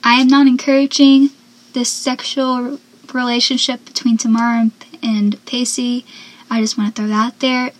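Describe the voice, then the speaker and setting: A seventeen-year-old girl with a soft, young-sounding voice, chatting casually as a podcast host and dropping into whispered asides. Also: on the high side, level tone, moderate pace, low-quality recording. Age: teens. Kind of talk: casual solo podcast chat.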